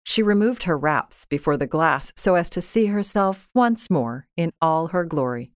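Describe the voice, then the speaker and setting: Low-voiced woman narrating a story. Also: animated, measured pace, no room sound, clean studio sound. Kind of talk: scripted dramatic narration of a literary short story.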